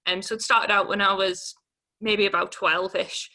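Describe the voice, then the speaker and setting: A woman in her twenties speaks as an interviewee about her own life. Her voice is bright and fairly flat in pitch. She talks informally and hesitantly, with plenty of casual fillers. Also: normal speed. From Newcastle upon Tyne, England. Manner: informal, hesitant, peppered with 'like'. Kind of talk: candid personal account in an interview.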